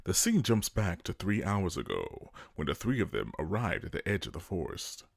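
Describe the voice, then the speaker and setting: Husky, deep-voiced male narrator. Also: big pitch swings, normal speed. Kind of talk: scripted scene narration for a script read.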